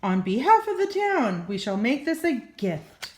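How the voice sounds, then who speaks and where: A woman's voice: high voice, very animated, measured pace, slight background noise. A woman voicing a worried, flustered, pleading mayor in a storybook read-aloud, in a roomy echo. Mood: decisive.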